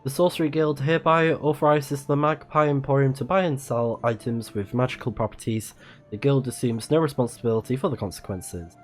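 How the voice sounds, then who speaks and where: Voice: mid-range voice, steady tone, brisk. A person playing a video game on a livestream, giving casual commentary with murmured reactions and reading on-screen text aloud. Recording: game music behind the voice. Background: video game music.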